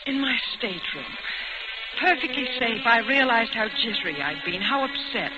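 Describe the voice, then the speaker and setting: A woman's voice: on the high side, animated, moderate pace, lightly acted. An actress as a nervous young woman, old radio drama. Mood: relieved, reflective. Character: nervous, refined young woman, a traveling companion. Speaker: narrator and lead character. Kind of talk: 1940s radio acting. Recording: muffled old radio recording with loud music.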